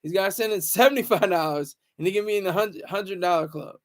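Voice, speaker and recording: High-pitched man chatting casually on a livestream. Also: fast talker. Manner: rambling, repeats phrases for emphasis. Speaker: livestream host. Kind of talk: casual livestream chat with viewers.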